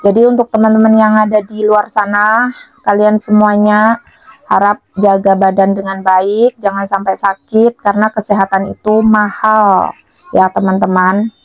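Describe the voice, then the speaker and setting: A woman's voice: mid-range voice, level tone, normal speed, dry recording, highs cut off. A woman chatting casually to her viewers as a vlogger, with a cough, cold and sore throat. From Blitar, East Java, Indonesia.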